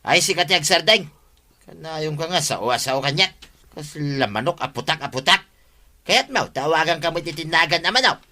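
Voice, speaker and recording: A man's voice: a little high, animated, moderate pace, pushed and bright, heavily acted. A man playing a mischievous, tipsy village man who rambles and mocks people in a comedy radio drama. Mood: tipsy mocking. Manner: mocking, rambling, name-calling.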